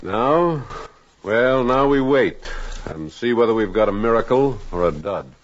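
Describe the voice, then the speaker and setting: An actor playing a calm, methodical research doctor with a deliberate manner, in 1950s radio drama style. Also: male voice, medium pitch, close to an everyday voice. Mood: dryly hopeful. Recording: dull, hissy 1950s radio recording.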